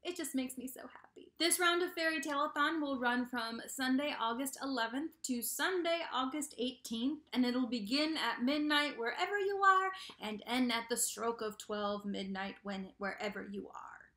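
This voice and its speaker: A young woman hosting a casual vlog to camera, talking in a bubbly, playful, animated way in a small room. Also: high-pitched, normal speed, few pauses. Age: young adult. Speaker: YouTube host of a book channel. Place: small bedroom indoors. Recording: echoey untreated room.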